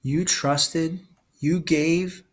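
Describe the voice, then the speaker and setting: A man's voice: fairly high pitch, steady tone, extremely slow, voice pushed up. A man reading a storybook as a menacing, sneering young male villain who taunts and gives orders. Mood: angry, unhinged. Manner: taunting, commanding.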